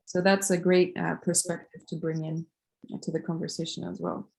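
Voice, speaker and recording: A woman moderates an online webinar in a measured, polite way, pausing on fillers. Her voice sits a little low and stays very even in pitch. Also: vocal fry, rough recording. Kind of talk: introducing a webinar guest.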